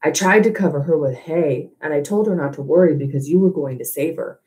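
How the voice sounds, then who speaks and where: A woman's voice: deep voice, brisk, warm tone, close to an everyday voice, poor audio. A woman voicing a small, loyal male dog who coaxes and insists, read aloud from a storybook. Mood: coaxing. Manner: coaxing and insistent.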